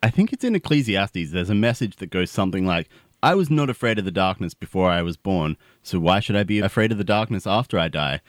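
A man acting a vain, teasing know-it-all in an audio comedy, recorded dry and close. His pitch jumps about in a lively way as he lectures and needles in a glib tone. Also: fairly quick, dry recording. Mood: reflective. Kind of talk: scripted comedy audio drama.

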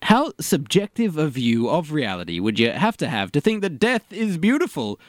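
Casting: wry, cynical male game master for audio comedy, expressive and swooping, recorded close and dry. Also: on the high side, moderate pace, no room sound. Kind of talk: comedic monologue and banter, audio drama.

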